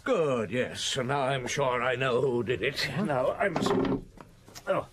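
A man playing a shrewd, unhurried French police chief inspector in a radio drama, musing and wry. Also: medium pitch, expressive, very noisy, natural voice. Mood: probing, wry. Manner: musing, deliberate, wry, hesitant pauses. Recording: old radio broadcast.

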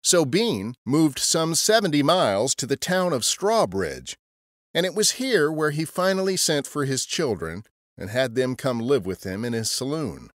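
A man's voice: medium pitch, sing-song, a little slow, dry recording, studio quality. A man narrating a scripted history story as a podcast storyteller.

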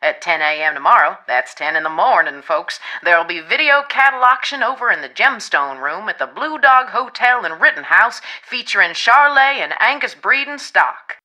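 A woman playing a brisk American local radio announcer in a game, reading farm news in a folksy, clearly enunciated way. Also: mid-range voice, animated, pushed and bright, rough recording. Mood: businesslike.

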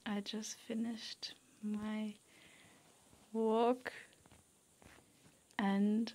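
A woman's voice: medium pitch, flat delivery, drawn-out words, warm tone. A woman in her twenties filming a casual travel vlog outdoors with a handheld camera, laughing often and exclaiming and swearing freely. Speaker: vlogger. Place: outdoors on a hiking trail and beach.

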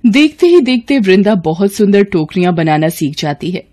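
A woman's voice: medium pitch, sing-song, moderate pace, mellow. A woman reading scripted narration for a moral story as the storyteller.

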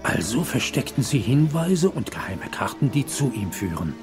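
An actor playing an old grandfather, telling treasure legends solemnly with hushed, dramatic pauses in dubbed film dialogue. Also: medium pitch, very animated, music in the background, close to an everyday voice. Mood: solemn, mysterious. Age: older.

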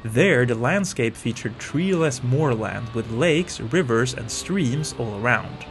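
A middle-aged man from Sweden narrating his motorcycle travel vlog in a calm, measured voice-over. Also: medium pitch. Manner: calm, informative, measured delivery. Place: voice-over, not recorded on the road. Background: faint music.

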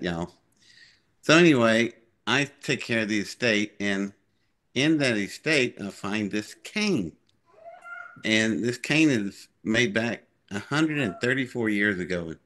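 A man's voice: fairly low pitch, a bit bright. An older man, a guest on a video call, telling anecdotes in a rambling, matter-of-fact way. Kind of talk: casual chat, telling anecdotes. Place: living room on a sofa, on a video call. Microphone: device mic at some distance, video call.

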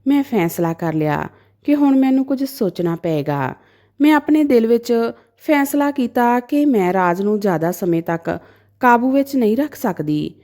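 Expressive woman storyteller with lively pitch. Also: moderate pace. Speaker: story narrator. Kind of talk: dramatic first-person story narration.

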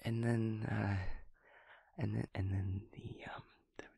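Audio roleplay, male actor as scatterbrained young male empathy daemon, guileless and sweet, halting delivery with gravelly fry, very dry close sound. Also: lowish voice, flat delivery, dark tone, no room sound, exaggerated voice. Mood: anxious, subdued. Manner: stammering, repeating words, breaking into giggles. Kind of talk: intimate audio roleplay monologue.

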